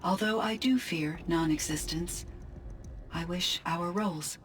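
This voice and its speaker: Measured, low-voiced female AI played by an actress. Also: faint music behind, close to an everyday voice. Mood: earnest, reflective. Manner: measured, formal, precise diction. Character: calm, curious, earnest female artificial intelligence. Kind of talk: video game voice acting.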